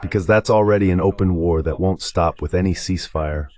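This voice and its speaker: A male guest commentator with a deep voice lays out political analysis. He is explanatory and emphatic, with a dismissive edge to his delivery. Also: a little fast, darkish tone. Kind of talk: political commentary, translated voice-over.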